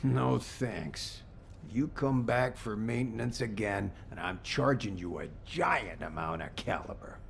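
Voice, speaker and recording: An actor playing a gruff but caring male mechanic and gunsmith in a video game, grumbling in a dry, familiar way. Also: lowish voice, unhurried, a little hiss or hum. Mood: gruff fondness. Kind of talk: game cutscene dialogue.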